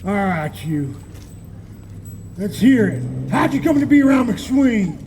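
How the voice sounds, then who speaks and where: A blustering Old West sheriff, played by a man at an outdoor reenactment, barking orders at his posse in folksy frontier slang. His voice is very high and swoops around a lot. Steady noise fills the open-air recording. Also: takes it slowly, full character voice. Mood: interrogating.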